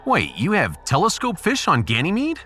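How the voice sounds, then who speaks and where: Man acting a bright, theatrical, sarcastic space officer. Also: sits high, wildly swooping pitch, moderate pace, exaggerated voice. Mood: wry, sarcastic. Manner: flowery, sarcastic. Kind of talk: sci-fi audiobook character acting. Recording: faint music behind the voice.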